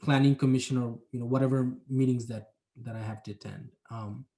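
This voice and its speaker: A man's voice: medium pitch, fairly even intonation, a little fast, warm tone. A young adult man from Bangladesh, talking about his planning job in a casual interview, reflective and hedging with many fillers. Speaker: urban planner, guest.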